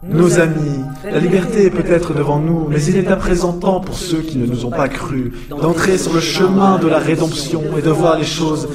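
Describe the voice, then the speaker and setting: A man's voice: a little high, moderate pace, few pauses, roomy sound, over loud music, natural voice. A man playing a pompous prophet preaching solemnly and grandly to fellow clones in a French comedy audio drama. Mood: solemn, exalted.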